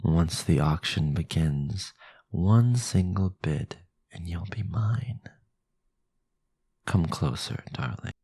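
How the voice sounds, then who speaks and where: A man playing a powerful, sinister vampire lord in an ASMR roleplay, possessive, silky and condescending, with a low, gravelly, breathy voice. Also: expressive, warm tone, dry recording, full character voice. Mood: menacing, seductive.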